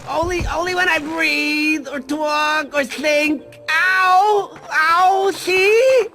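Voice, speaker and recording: High, goofy, slow-drawling cartoon sloth. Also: male voice, pushed and bright, soft background music, full character voice. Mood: pained. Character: goofy, clumsy, reluctant male cartoon sloth.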